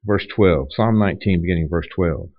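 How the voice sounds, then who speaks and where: Male preacher, deep voice, plainspoken teaching with restarts, dry lo-fi sound. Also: moderate pace, no echo. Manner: conversational teaching with frequent restarts. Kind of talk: sermon. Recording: dull lo-fi dry recording.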